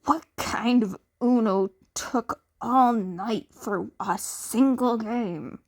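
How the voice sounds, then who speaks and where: A woman's voice: fairly high pitch, drawn-out words, exaggerated voice. A woman voicing a blunt, incredulous teenage hero student in an audio story. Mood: blunt disbelief.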